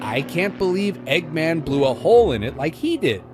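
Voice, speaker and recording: A woman voicing an earnest, gentle young boy fox in a cartoon comic dub, boyish and friendly. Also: low-pitched, big pitch swings, moderate pace, music in the background.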